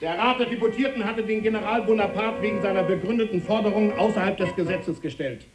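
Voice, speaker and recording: A high-pitched French officer played by a man delivers a formal military report in a radio drama. Music plays clearly behind the voice. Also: fast, lightly acted. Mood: formal, triumphant. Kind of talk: German radio play acting.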